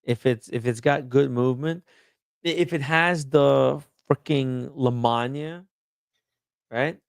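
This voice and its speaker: Slow, gravelly host chatting dryly. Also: male voice, mid-range voice, no room sound, clean studio sound. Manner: relaxed, chatty, laughs easily. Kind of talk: casual livestream chat.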